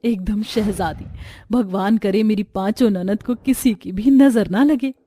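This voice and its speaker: A woman's voice: mid-range voice, measured pace, dark tone, no echo, natural voice. A woman voicing a poor, devoted and humble sister-in-law in an earnest, pleading way for a Hindi family story. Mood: tender.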